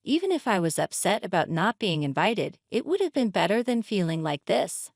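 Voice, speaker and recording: A woman's voice: medium pitch, big pitch swings, moderate pace, dry recording, clean studio sound. Indignant, hurt wife, acted by a woman. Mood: angry, hurt. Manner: confrontational, pleading. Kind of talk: dramatized story reading.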